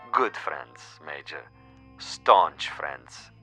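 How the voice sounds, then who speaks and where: An actor playing a sly, falsely friendly agent in a radio drama, insinuating and elaborately courteous. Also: male voice, rumbling low, animated, extremely slow, pushed and bright, soft background music, full character voice. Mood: sinister courtesy.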